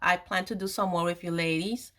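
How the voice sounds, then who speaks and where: A woman's voice: fairly low pitch, steady tone, a little fast, sharp tone. A woman in her forties hosts a personal storytelling video. She speaks candidly and confidingly to her audience. Key phrases are stressed and often repeated for emphasis. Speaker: host of an online video series, author telling her own story.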